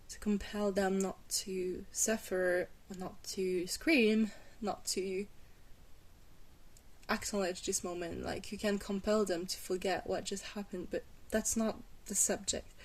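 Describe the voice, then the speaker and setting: Glamorous female vampire guide, played by a woman, ASMR roleplay. Unhurried, mid-pitched, soft and hesitant, reassuring, gently wry. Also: poor audio. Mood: wry, explaining.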